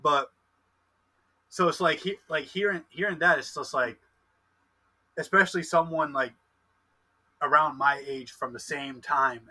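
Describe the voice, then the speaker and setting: Male co-host, early 20s; bright, slightly high voice; eager, stumbling. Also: measured pace, pauses often, poor audio. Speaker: podcast co-host, wrestler. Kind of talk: casual podcast interview.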